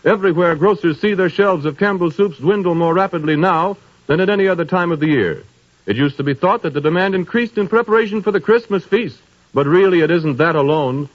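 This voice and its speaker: High-voiced 1930s radio announcer. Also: male voice. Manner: smooth, polished sponsor pitch. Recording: dull old radio broadcast with faint music.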